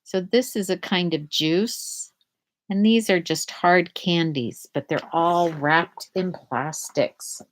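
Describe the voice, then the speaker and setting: A woman reading a children's picture book aloud in a deliberate way, pausing to explain things to young listeners. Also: lowish voice. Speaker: storybook reader.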